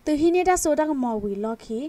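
A woman's voice: fairly quick, warm tone, dry recording. A woman radio news presenter reading scripted news.

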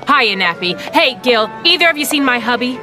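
A brassy, slangy wife from a 1940s audio drama. Her voice is high and forceful, swinging widely in pitch. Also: expressive, brisk, soft background music. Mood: breezy, searching. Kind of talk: full-cast audio drama acting.

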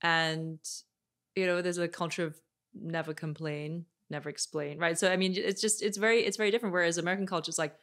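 A woman in her thirties, an etiquette expert guest, talking in a measured, explanatory way and often checking in with "right?", recorded on a boom mic in a studio room. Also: fairly even intonation, a bit bright. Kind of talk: interview conversation. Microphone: desk microphone on boom arm.

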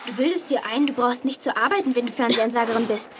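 A woman's voice: fairly high pitch, quick pace, background noise, natural voice. An actress playing a cheeky, blunt young girl in a children's radio play. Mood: blunt, teasing.

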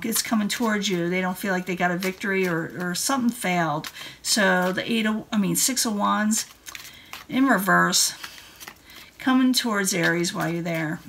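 A woman's voice: mid-range voice, fairly bright tone, a little hiss or hum. A woman giving a casual tarot card reading in an informal, rambling way. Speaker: tarot reader. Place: indoor room at a table.

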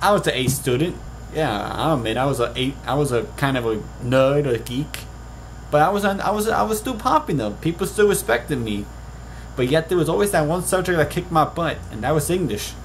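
Young man, puerto rican accent, slightly high voice, rambling with restarts, bedroom webcam, faint hum. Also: expressive, a little fast, rough recording. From Puerto Rico. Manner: rambling, many restarts, earnest. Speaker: author. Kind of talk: casual vlog monologue. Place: small bedroom. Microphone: webcam at desk.

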